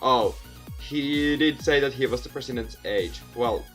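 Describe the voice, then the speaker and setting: A man's voice: mid-range voice, unhurried, fairly bright tone. A young man hosting a gaming video, reading visual novel narration aloud with asides and stumbling over repeated words. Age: young adult. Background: music.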